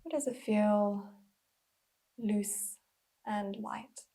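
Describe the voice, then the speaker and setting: A woman leading a guided body scan as a mindful movement instructor, speaking gently and unhurriedly with pauses, in a breathy, slightly husky voice. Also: mid-range voice.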